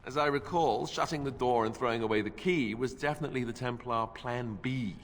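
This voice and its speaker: A man's voice: mid-range voice, brisk, big-room sound, lightly acted. A man voicing a blunt warrior in a fantasy video game, with a dry, matter-of-fact manner. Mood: dry sarcasm.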